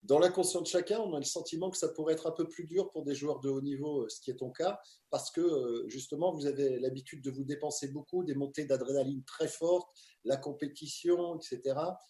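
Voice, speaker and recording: Male host, mellow tone, roomy home echo, high-ish voice. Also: brisk. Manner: lively, emphatic presenter with loud greetings. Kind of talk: casual video-call interview. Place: his home.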